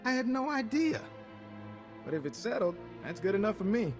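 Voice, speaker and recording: A man's voice: sits high, very animated, normal speed, faint music behind. Wry, friendly male agent, reverberant. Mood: friendly, relieved. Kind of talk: video game voice acting.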